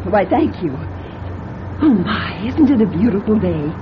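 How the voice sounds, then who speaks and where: Muffled, noisy old radio drama sound with a vehicle rumbling behind. A genteel, chatty older mother speaks with lively swings of pitch, kindly and polite. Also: a little slow. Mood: cheerful, curious. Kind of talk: classic radio drama acting. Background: bus engine rumble.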